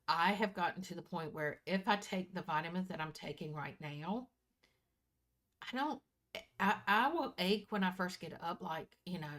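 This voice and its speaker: A woman in her early sixties chatting casually to her viewers in a folksy, candid way, often repeating and correcting herself. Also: on the low side. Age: early 60s. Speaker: vlogger. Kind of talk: casual personal chat to viewers.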